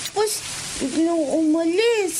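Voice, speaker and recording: A meek little child speaks slowly and politely in a very high voice with a Filipino accent. Noisy radio-drama ambience sits behind the hesitant words. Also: female voice, animated, a little mellow, exaggerated voice.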